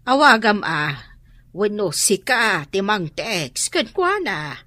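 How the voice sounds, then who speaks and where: Give me a scolding mother, bright and animated. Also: medium pitch, takes it slowly, dry recording, full character voice. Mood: reproachful. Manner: nagging, reproachful. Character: exasperated scolding mother of a gambling grown daughter. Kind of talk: Ilocano radio drama acting.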